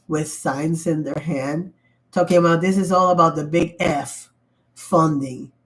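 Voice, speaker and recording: Woman, sick and losing her voice, slightly low pitch, casual livestream talk, emphatic and rambling. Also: low-quality recording. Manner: rambling, emphatic, restarts often. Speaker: livestream host. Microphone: computer mic.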